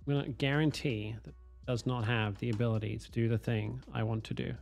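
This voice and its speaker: A man, streaming a game from home on a headset, hoarse from a cold, swearing and joking with chat. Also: mid-range voice, fairly even intonation, brisk, darkish tone, faint music behind. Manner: swearing, self-mocking, chatting to viewers. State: hoarse, losing his voice from a cold. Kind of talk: casual live-stream chatter while playing.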